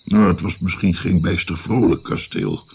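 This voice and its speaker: A wry old grandfather tells his tale in a radio play. His voice is very low and gravelly, moving freely in pitch as he reminisces. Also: very animated, moderate pace, darkish tone, highs cut off, full character voice. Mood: wry, reminiscing. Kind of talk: radio drama storytelling.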